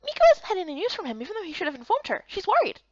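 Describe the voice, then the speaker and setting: A woman's voice: very high voice, sing-song, racing, dry recording, lo-fi sound, voice pushed up. A woman voicing an eager, feisty young heroine in a fantasy game, exclamatory and emotive. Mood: concerned.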